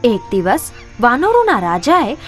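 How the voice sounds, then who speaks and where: A woman's voice: fairly high pitch, huge pitch swings, measured pace. A woman narrating scripted children's stories as a storyteller. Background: background music.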